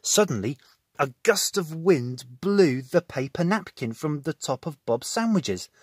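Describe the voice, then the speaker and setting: A man narrating a children's storybook in an expressive reading style. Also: a little high, a little slow, husky, no echo, clean studio sound.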